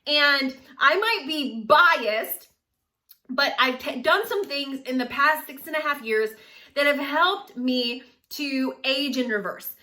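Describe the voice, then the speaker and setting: A woman of forty talking casually to camera in a chatty, animated way, laughing often. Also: sits high, takes it slowly. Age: 40s. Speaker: vlogger.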